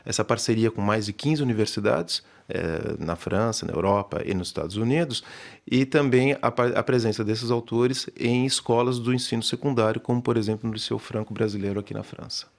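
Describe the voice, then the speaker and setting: A literature professor answering radio interview questions in a measured way, with many hesitations and restarts. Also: male voice, lowish voice, creaky. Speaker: literature professor and festival organizer.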